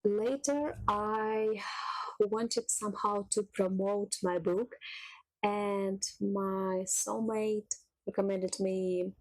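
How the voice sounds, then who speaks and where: A woman talks through her story in an unhurried, chatty way, slipping in soft little laughs. Her pace is slow and easy. The voice sounds dry and close, with almost no room around it. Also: medium pitch, fairly even intonation, dry recording, low-quality recording. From Russia. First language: Russian. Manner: unhurried, chatty, laughs softly between thoughts. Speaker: cooking channel creator. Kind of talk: casual personal vlog monologue.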